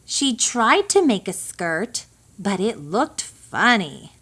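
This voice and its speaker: A woman reading a children's picture book aloud in an expressive, deliberate storytelling manner for young listeners. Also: medium pitch, husky. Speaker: storybook reader.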